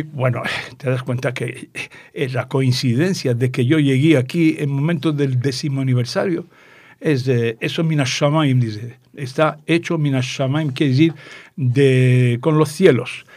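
An older man from Tangier with a northern Moroccan Judeo-Spanish accent, chatting as an interview guest in a playful, digressive storytelling way and pausing to explain words. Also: mid-range voice, darkish tone, dry recording. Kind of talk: conversational storytelling.

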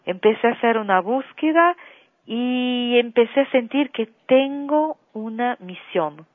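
Una mujer brasileña, cantante, habla por teléfono con una voz algo aguda y un ritmo muy pausado, alargando las pausas. El sonido es seco, estrecho y metálico, como una línea telefónica. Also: female voice, fairly high pitch, animated, no room sound. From Brazil. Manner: slow, warm storytelling with drawn-out fillers, sings softly at times. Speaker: singer, interview guest. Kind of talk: phone interview. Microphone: telephone. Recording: tinny phone line.

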